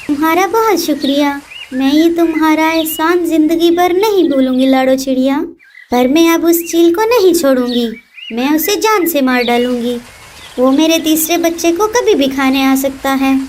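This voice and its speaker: A woman plays a bereaved mother songbird from a children's cartoon. Her voice is very high and dramatic, full of vengeful passion, with faint noise behind it. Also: moderate pace, a little mellow, natural voice.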